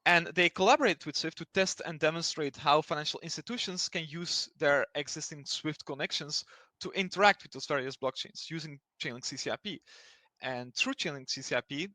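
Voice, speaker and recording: A man's voice: fairly high pitch, fairly quick, bright, forceful tone, no room sound. A man from Belgium answering interview questions in an explanatory way, hesitant and often restarting his sentences. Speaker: interview guest speaking for a blockchain project.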